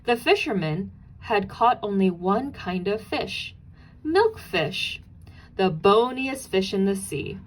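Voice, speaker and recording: A woman's voice: medium pitch, expressive, slow pace, rough recording. A woman narrating a children's storybook aloud, expressively, with dramatic emphasis on key and repeated words.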